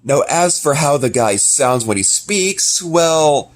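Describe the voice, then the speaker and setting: A man's voice: fairly high pitch, expressive, slow pace. Casual guy making an announcement. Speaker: amateur video creator and voice actor. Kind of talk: casual scripted-feeling vlog announcement.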